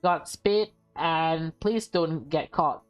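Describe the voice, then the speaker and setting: A woman voicing a nervous teenage boy disguised as a polite maid in a visual novel, halting and overly formal. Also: sits low, faint music behind. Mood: secretive, determined. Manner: halting, stammering, overly formal when covering up. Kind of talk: visual novel dialogue read in character.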